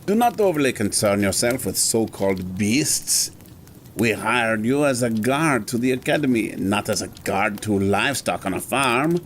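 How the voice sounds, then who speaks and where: A man's voice: fairly low pitch, lively intonation, normal speed, slight background noise, natural voice. An actor playing a stern, haughty male head of an academy in an audio drama, speaking formally. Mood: stern, dismissive. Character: pompous, evasive, imperious scholar in charge of an academy.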